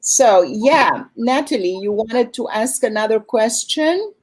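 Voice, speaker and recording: A woman's voice: on the high side, expressive, slow, rough recording. A woman speaking conversationally as she hosts and moderates a festival question-and-answer session. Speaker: festival host moderating an online Q&A. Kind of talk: conversational event hosting.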